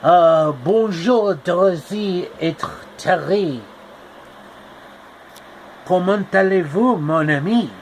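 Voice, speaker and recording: A man voicing a showy male magician who drops French phrases into slow, theatrical talk. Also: high-pitched, slight background noise, pitched above the performer's own voice. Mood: grandiose.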